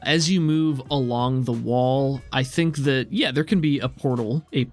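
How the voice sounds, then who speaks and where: A man narrating an improvised tabletop game as game master, explaining the scene in measured descriptions. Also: mid-range voice. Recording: music behind the voice. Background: music.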